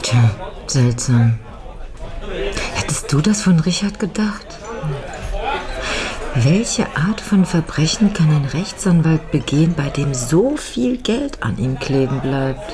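An actress playing a middle-aged, level-headed and analytical friend in a German radio crime drama. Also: female voice, sits low, big pitch swings, slow, long pauses, crowd noise, exaggerated voice. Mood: puzzled.

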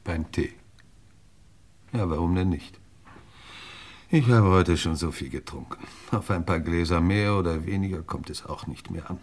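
An actor playing an older English lord and uncle in a German radio crime play, brusque, easily flustered and given to hesitant stammering. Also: male voice, deep voice, normal speed, pauses often, darkish tone. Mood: resigned, wry. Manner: curt, blustering, hesitant stammering when embarrassed.

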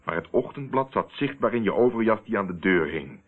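A steady guy calling out a killer, lowish voice, probing and controlled, old radio play, tinny sound. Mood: accusing. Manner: deliberate, accusing, controlled. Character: steady, probing man confronting a murderer. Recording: tinny, narrow-band old radio recording.

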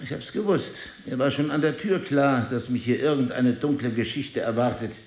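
An actor playing an intense, probing husband in an intimate audio drama, speaking in an eloquent, insistent way. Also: male voice, mid-range voice, moderate pace, a little mellow, husky. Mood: suspicious, searching. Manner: insistent, questioning, theatrically eloquent. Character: intense, probing crime-writer husband with memory loss. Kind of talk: intimate two-person audio drama acting.

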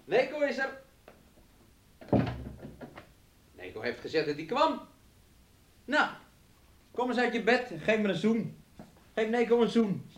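A man's voice: high voice, big pitch swings, normal speed, long pauses, a bit bright, slight background noise. An actor playing a domineering, self-absorbed man in a radio play, nagging and coaxing his sick lover and calling himself by name. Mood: impatient, demanding. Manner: talks of himself in the third person, nagging and coaxing. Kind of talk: Dutch radio play acting.

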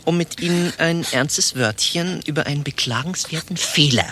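An actor playing a pompous, condescending administration chief in a satirical radio play, biting and exasperated. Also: male voice, a little high, moderate pace, pushed and bright. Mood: pompous, mocking.

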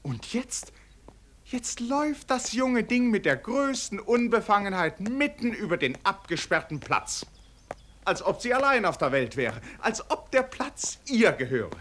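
Excitable, husky eyewitness narrator. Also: male voice, sits high, unhurried, full character voice. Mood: astonished, indignant. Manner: breathless exclamations, repeats himself, theatrical. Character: flustered, excitable male eyewitness narrator at a parade. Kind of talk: live-commentary narration in a radio play.

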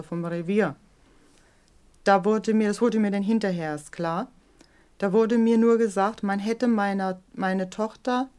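A woman's voice: medium pitch, a little mellow. A middle-aged woman giving personal testimony in a documentary interview, speaking in an emphatic, plain-spoken way. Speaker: interviewee, mother of a teenager.

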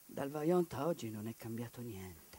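A woman delivering an impassioned theatrical monologue, building rhetorical lists and dropping into hushed bitterness. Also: extremely low pitch, animated, normal speed, over loud music. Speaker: stage actress.

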